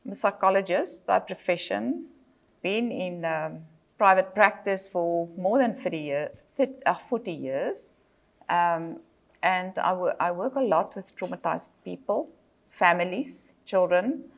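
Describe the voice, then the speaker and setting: Older South African woman, Afrikaans native; measured lecturer, fillers and restarts, slightly low voice. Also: animated, vocal fry. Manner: measured, with frequent fillers and self-corrections. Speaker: psychologist and lecturer. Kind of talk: presentation lecture.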